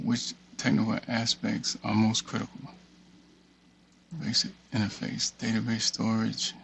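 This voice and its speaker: A man giving a casual screen-share walkthrough, thinking aloud in a halting mutter and reading text off the screen. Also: mid-range voice, creaky, breathy edge. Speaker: tutorial presenter. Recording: dull, muffled lo-fi audio.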